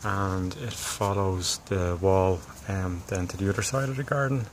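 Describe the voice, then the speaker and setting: Low-voiced, halting man. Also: fairly even intonation, slight background noise. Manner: halting, thinking aloud. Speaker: hobbyist presenter. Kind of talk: casual DIY demonstration talk.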